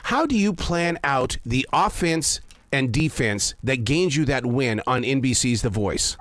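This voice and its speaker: A male show host interviews a guest in a conversational way. He is effusive and encouraging, with a middle-pitched voice in a very dry recording. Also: lively intonation, measured pace, fairly bright tone, no room sound.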